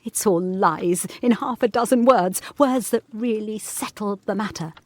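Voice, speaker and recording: A woman playing an anxious, probing young governess in a gothic audio drama, earnest, measured and intense. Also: medium pitch, darkish tone, no echo, natural voice. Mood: obsessive conviction.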